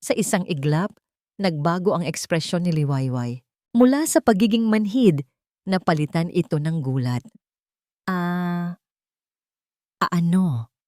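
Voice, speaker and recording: A Filipino woman narrates a dramatic story. Her voice sits a little low and moves widely in pitch as she reads. The sound is dry, with hardly any room in it. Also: expressive, normal speed, warm tone, no echo.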